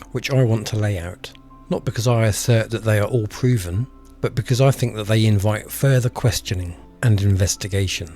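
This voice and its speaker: Low-voiced man presenting a measured explainer. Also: a little mellow. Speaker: video presenter and commentator. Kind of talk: scripted explainer narration with direct address to viewers.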